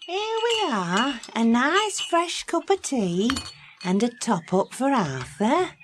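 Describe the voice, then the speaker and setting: A woman's voice: on the high side, big pitch swings, takes it slowly. A woman voicing a cheery, motherly village woman in a children's audiobook, kindly and bustling. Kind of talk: children's audiobook character reading. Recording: clinking crockery noise behind. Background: clinking cups and crockery.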